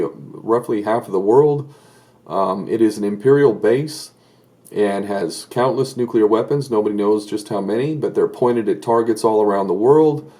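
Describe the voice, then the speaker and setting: Middle-aged man, mellow tone, earnest commentator who stumbles and restarts often. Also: on the low side. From Southern California. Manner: earnest, hesitant with many fillers and restarts. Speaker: political commentator. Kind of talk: solo video commentary.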